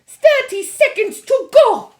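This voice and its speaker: A woman's voice: very high-pitched, lively intonation, takes it slowly, voice pushed up, exaggerated voice. A woman voicing a cruel, theatrical female witch leader in a storybook reading. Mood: announcing. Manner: shrieking, theatrical.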